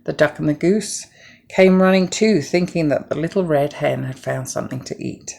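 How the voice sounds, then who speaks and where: A middle-aged woman reads a children's tale aloud. Her voice is low, with plenty of fry in it. She keeps a measured, gentle storytelling manner. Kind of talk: scripted children's story narration.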